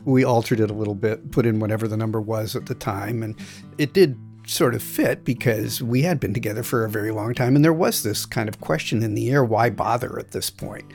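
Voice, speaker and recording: Gravelly man telling his story. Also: on the low side, darkish tone, soft background music. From United States. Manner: reflective, measured. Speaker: interviewee. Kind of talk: personal storytelling.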